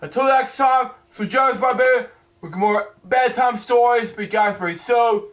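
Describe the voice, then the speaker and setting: A young man hosting a casual bedtime read-aloud, chatting in a halting, rambling way and often repeating his phrases. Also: very high voice, fairly bright tone. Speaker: host of a read-aloud bedtime story series.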